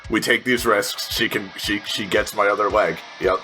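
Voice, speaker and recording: A man playing a tabletop game, chatting casually and thinking aloud in halting, repeated phrases with casual swearing. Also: fairly low pitch, fairly even intonation, pushed and bright. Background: faint music.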